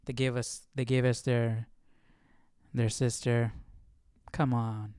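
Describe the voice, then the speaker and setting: A man's voice: medium pitch, flat delivery, takes it slowly, a little mellow, clear, resonant tone, no room sound, clean studio sound. A man hosting and reacting casually to a reading, with exclamatory, conversational asides. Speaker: host.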